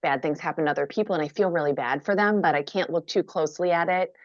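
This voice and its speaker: Low-voiced wry woman on a video call. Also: quick pace, no echo, lo-fi sound. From Minnesota. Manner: candid, wry, self-deprecating humor. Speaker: guest author. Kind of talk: conversational interview answer.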